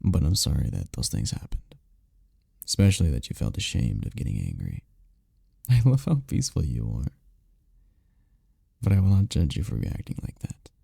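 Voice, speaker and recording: Man acting as male incubus lover, very dark husky voice, soothing, teasing, intimate ASMR roleplay, close dry mic. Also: very animated, normal speed, pauses often, no room sound, exaggerated voice. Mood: tender, reassuring. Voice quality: gravelly, dark low voice. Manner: soft, soothing, teasing, lapses into whispers. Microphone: close microphone, dry.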